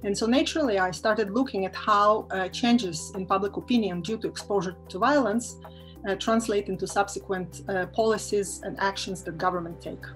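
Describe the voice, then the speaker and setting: Woman professor from Israel, measured research talk with fillers, faint music underneath. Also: medium pitch, rough recording. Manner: measured, with frequent filler pauses. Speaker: assistant professor. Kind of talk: interview-style talk about research.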